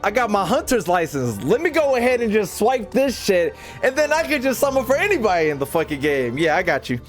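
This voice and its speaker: A man with a fairly high, very animated voice streams a video game, talking loosely to his chat. His speech is slangy and sweary, often playfully exasperated, with some music behind him. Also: fairly quick. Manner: slangy, profane, mock-exasperated. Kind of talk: casual livestream chat while playing.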